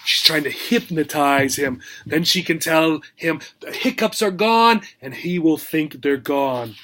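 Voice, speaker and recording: A man reads to young children as their teacher, taking his time over simple, short phrases. He prompts his listeners with questions in an animated, instructive way, at an unhurried pace. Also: on the high side, slight background noise. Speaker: teacher reading a picture book to young learners. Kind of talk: children's read-aloud lesson, asking questions.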